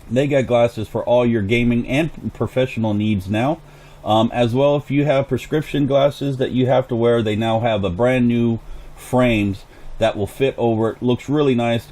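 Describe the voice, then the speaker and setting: A man casually commenting on his own farming game as host, thinking aloud as he plays, his voice hoarse and going. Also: mid-range voice, mellow. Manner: chatty, thinks aloud while playing. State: hoarse, clearing throat. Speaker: gaming channel host.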